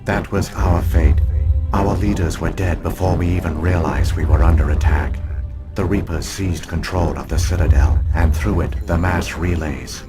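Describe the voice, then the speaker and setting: A man's voice: low voice, steady tone, natural voice. A man voicing a grave ancient AI. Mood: solemn. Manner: measured, grave, formal delivery. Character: ancient Prothean AI archive program, solemn. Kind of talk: video game voice acting. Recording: music playing behind.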